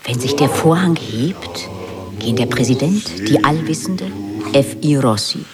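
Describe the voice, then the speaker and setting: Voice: mid-range voice, expressive, unhurried, loud music behind the voice. A narrator theatrically reading out titles, character lists and stage directions for a radio play.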